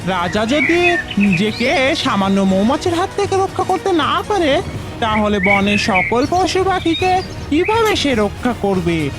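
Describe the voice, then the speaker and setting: A woman's voice: high voice, sing-song, measured pace, music in the background. A woman voicing a sly, scheming fox in a cartoon fable, with a wheedling, theatrical manner. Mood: scornful.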